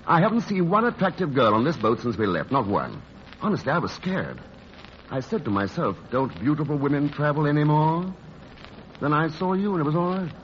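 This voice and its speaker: A man's voice: on the high side, very animated, fairly quick. A man playing a suave, flirtatious playboy in a 1940s radio romantic comedy, polite and hesitant in his charm. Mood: smooth, flirtatious. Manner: hesitant, polite, smooth-talking. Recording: muffled, noisy old radio broadcast.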